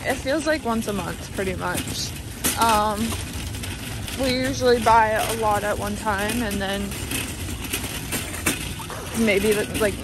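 A young woman talks casually into a handheld phone camera, narrating a grocery run and laughing easily. Her voice sits at a middle pitch. The recording carries clear background noise from a busy store and a parking lot. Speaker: family vlogger. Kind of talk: casual chat.

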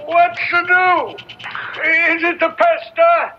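Very high, drawn-out shouting jailer. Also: male voice, little pitch movement. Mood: alarmed. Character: alarmed jailer shouting in answer. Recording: dull, noisy old radio drama recording.

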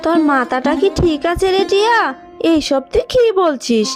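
A woman's voice: very high-pitched, sing-song, normal speed. A woman doing a scolding village mother. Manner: scolding, brusque. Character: stern poor village mother, suspicious. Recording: long reverb with music underneath.

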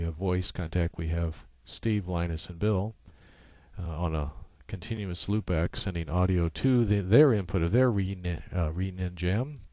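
A man giving a casual, unscripted software walkthrough in halting steps, speaking into a USB microphone. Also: deep voice, no room sound. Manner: halting, unscripted step-by-step explaining. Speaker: software tutorial presenter. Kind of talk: casual screen-capture tutorial narration.

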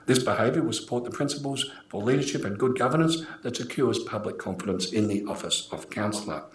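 An older man with an Australian accent, formally chairing a meeting as mayor in a measured, procedural manner. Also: medium pitch, animated. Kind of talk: formal scripted meeting address. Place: indoor council chamber. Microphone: gooseneck desk microphone. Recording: echoey room, clear background noise.

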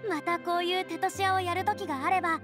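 A woman voicing a theatrical, breezy young lady of high standing in an anime game, with grand, boyish phrasing. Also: steady tone, fast, music in the background. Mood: breezy satisfaction.

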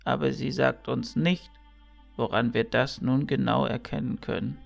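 A man playing a kindly, teasing old mentor priest in a fantasy audio drama, gravelly and fatherly. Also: medium pitch, steady tone, measured pace, muffled recording, faint music behind. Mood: wise, reflective. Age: older.

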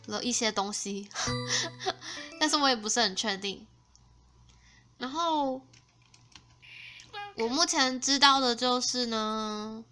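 A woman giving casual, expressive let's play commentary as host of a gaming video. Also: high-pitched. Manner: expressive, exclaiming reactions. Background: game music.

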